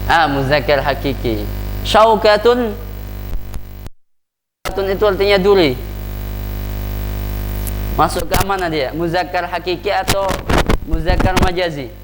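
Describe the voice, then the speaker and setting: A man's voice: high-pitched, unhurried, pauses often, soft background music. A man with an Indonesian accent teaching an Arabic grammar lesson, quizzing the class on words and tagging his sentences with "ya". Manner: drills vocabulary, asks the class questions, many "ya" tags. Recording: long hall reverb, faint mains hum.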